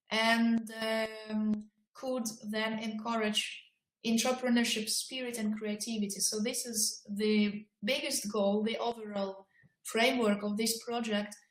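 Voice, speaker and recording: Woman, higher-pitched, unhurried and deliberate, explaining in short phrases over an online call with roomy echo. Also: fairly bright tone. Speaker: project colleague. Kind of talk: explanatory remarks in a conference call.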